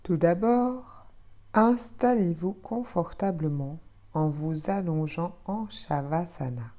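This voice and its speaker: A woman leads a guided relaxation as a yoga teacher. Her voice is low and soft-toned, hushed and soothing. She speaks extremely slowly and in a measured way, gently repeating her phrases.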